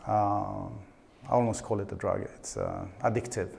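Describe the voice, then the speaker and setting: A man's voice: fairly low pitch, sing-song, moderate pace, creaky, a little mellow, a little hiss or hum. A middle-aged man from Sweden with a Swedish accent, giving a conference talk in a hesitant way, with frequent fillers, restarts and dry humor. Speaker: conference speaker. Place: conference stage.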